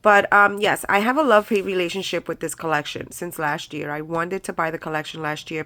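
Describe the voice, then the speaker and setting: A woman with a stuffed-up, nasal voice from a head cold, rambling casually as she shows off her craft purchases. Her tone is bright and a little sharp, and she sits on the lower side of the usual pitch range for a woman. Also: no room sound. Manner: chatty, rambling with many ums and likes. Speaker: crafting channel host. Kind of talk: casual haul video chat.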